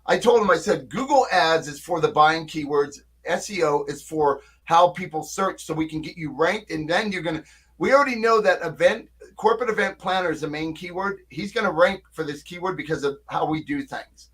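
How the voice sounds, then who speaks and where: An older man with a slightly raised voice talks at length in a relaxed interview. He is emphatic and keeps checking in with his listener as he explains how his agency works. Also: fairly high pitch, fairly quick, husky. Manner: talkative, emphatic, frequent "right?" check-ins. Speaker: marketing agency owner. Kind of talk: casual interview chat.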